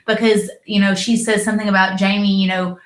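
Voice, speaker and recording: A woman's voice: medium pitch, little pitch movement, a little fast. Chatty Southern woman, echoey room. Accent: Southern American. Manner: chatty, acts out quotes, frequent "like" and "you know". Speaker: co-host of a TV review show. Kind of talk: casual review chat.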